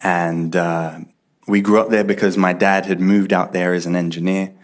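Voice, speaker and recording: A man's voice: low voice, little pitch movement, normal speed. A man, giving an earnest, reflective personal faith testimony. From Ethiopia and UK. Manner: reflective, earnest, builds in intensity. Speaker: testimony speaker.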